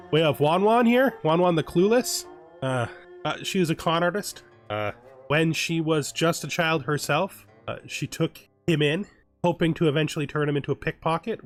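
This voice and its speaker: A man hosting a let's play, thinking aloud as he narrates a strategy game, with many fillers and restarts. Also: a little high. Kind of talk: casual game commentary.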